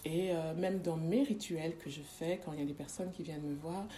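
A woman in her forties with a low voice and a French accent talks in a casual interview. She stops and restarts a lot and repeats words as she looks for what to say. A faint hum of ambience sits behind her. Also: steady tone, a little fast, warm tone. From France. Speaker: guest, ritual practitioner and former nurse.

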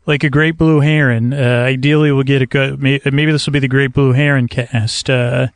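Creaky guy podcast host, soothing rambly sleep talk, keeps trailing off and correcting himself, no room echo at all. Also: mid-range voice, fairly even intonation. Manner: meandering, tangent-prone, halting with self-corrections; soothing, lulling delivery. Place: outdoors, in a kayak on a river.

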